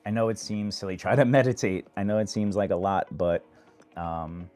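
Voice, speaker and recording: Low-voiced man, monotone livestream chat. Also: normal speed, faint music behind. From New York. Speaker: livestream host. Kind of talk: casual live chat answering viewers. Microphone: phone.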